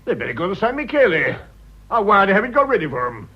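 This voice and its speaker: An actor playing a gruff, emphatic, blustering old English aristocrat in a radio drama, with a British accent. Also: male voice, sits high, very animated, fast, exaggerated voice. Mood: softening. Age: older. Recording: dull, muffled radio drama audio.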